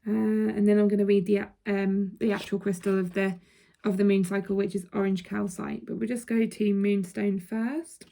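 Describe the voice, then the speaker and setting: A woman with a croaky throat talks viewers through a card reading in a mellow, relaxed tone. Her delivery is casual and chatty, with frequent "okay?" check-ins. Her pitch sits in an ordinary middle range. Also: normal speed. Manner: chatty, checks in with "okay?", laughs between points. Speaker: intuitive card reader.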